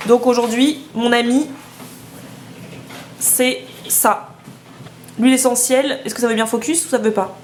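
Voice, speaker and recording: A woman's voice: a little high, fairly even intonation, a little slow, pauses often, roomy sound, slight background noise. A young woman with a head cold chatting casually in a home vlog, with lots of hesitations. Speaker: vlogger. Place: at home indoors.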